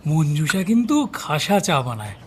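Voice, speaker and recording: An actor playing an older, bookish retired detective in an audio drama, a husky-voiced husband with a teasing, easygoing way of talking. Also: male voice, a little high, moderate pace, noisy background. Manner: teasing, expressive.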